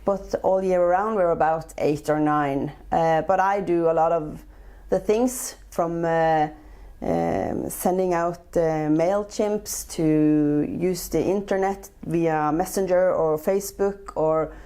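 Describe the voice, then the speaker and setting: A woman's voice: lowish voice, takes it slowly, warm tone, clean tone. A woman in her forties from Norway, speaking English with a Norwegian accent, answering interview questions with frequent hesitations. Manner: hesitant, many filler sounds. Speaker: guest, chef and entrepreneur.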